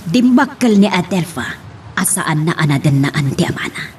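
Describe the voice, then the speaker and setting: Woman acting an animated, insistent Ilocano daughter. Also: fairly low pitch, moderate pace, a little hiss or hum. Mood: upset, firm. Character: headstrong grown daughter in a family love drama. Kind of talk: amateur Ilocano radio-style drama acting.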